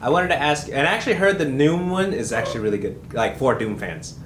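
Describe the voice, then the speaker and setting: A man interviewing someone in a relaxed, conversational style, with a middling pitch. He sounds a little off-mic, with faint room noise around his voice. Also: fast. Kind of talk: casual chat, asking questions.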